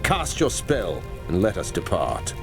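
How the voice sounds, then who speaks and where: A mocking, haughty fantasy game adventurer. His voice sits fairly low and moves slowly, with swooping, condescending intonation. He sounds sardonic and deliberate. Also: huge pitch swings, vocal fry, exaggerated voice. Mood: sly mockery. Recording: music behind the voice.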